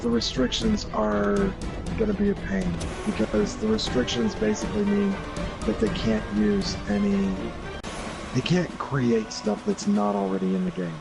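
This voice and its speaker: A man's voice: high-pitched, lively intonation, unhurried, vocal fry, rough recording. A man giving his opinions in a casual gaming discussion, explaining emphatically point by point. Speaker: gaming show panelist. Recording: music behind the voice.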